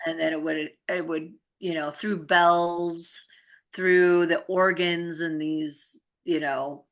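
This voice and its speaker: Middle-aged woman, low pitch, creaky vocal fry, casual halting explanations. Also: steady tone. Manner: halting, searching explanations with rhetorical questions. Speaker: co-host of a spiritual healing talk show. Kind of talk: casual conversational chat.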